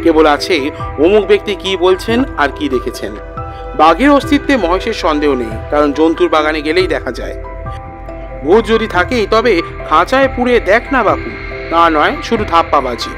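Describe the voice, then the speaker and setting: Higher-pitched male storyteller over music. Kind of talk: scripted literary narration. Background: background music.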